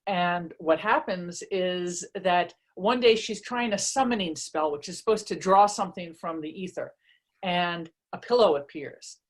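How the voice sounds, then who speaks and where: A woman's voice: lowish voice, unhurried. A woman author talking casually about their books and reading aloud, in a chatty, self-deprecating, conversational way. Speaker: author presenting and reading from their own book. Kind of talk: casual book talk and read-aloud.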